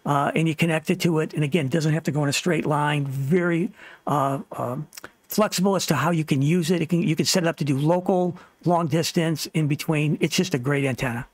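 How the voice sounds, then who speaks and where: A man's voice: a little high, brisk, no echo. A man narrating an informal instructional slide presentation as host, plain-spoken and practical, offering advice. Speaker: host of a ham radio tutorial series.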